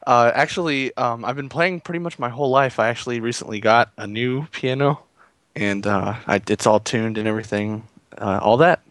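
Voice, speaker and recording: A man playing a raspy, coughing piano player. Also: medium pitch, moderate pace, a bit bright, no echo, close to an everyday voice. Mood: earnest. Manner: hesitant, filler-heavy. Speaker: prank caller.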